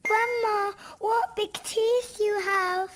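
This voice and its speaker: A woman's voice: extremely high pitch, fairly even intonation, slow. A woman playing a sweet, innocent little girl in a children's storybook cartoon, with a wondering manner.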